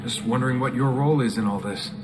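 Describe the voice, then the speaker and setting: A man's voice: mid-range voice, quick pace, natural voice. Male actor as young man, reluctant game hero, questioning, sardonic, casual delivery, music behind. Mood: curious. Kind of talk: video game voice acting.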